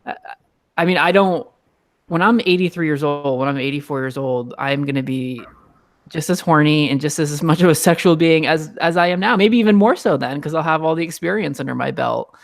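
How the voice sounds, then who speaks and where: A man hosting a casual panel discussion, talking in an animated, ranting way. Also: a little high, brisk, gravelly. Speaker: host.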